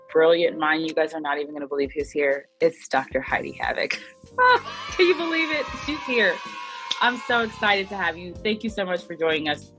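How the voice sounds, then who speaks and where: A woman hosting a podcast interview, bubbly and excitable, with big leaps in her voice. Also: sits low. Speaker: podcast host, chiropractor. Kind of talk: casual interview chat.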